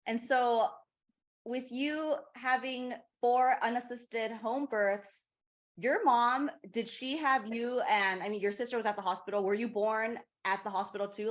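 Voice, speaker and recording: A woman from Los Angeles, hosting an interview in a soft, encouraging way with quiet affirmations. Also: fairly high pitch. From Los Angeles, USA. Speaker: podcast host. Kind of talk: casual interview.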